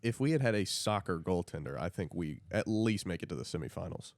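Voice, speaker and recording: Young adult man, American accent, very deep pitch, dry close sound, casual sports chat. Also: lively intonation, brisk, no room sound. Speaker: podcast co-host.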